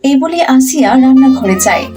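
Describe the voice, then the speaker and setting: A woman's voice: high voice, animated, a little fast, over music. A woman narrating a cartoon story in a scripted storytelling style.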